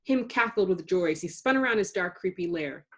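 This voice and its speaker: A woman reading a children's story aloud in an expressive narrating style, with dramatic emphasis for suspense. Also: on the low side, fairly quick. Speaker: storybook reader.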